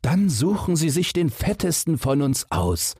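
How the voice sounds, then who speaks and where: A man puts on a pitiful male captive from a storybook. The voice sits far higher than the reader's own, husky and plaintive, lamenting a miserable fate. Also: big pitch swings, a little slow, darkish tone, no echo, clean studio sound, full character voice. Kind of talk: fairy-tale audiobook character voice.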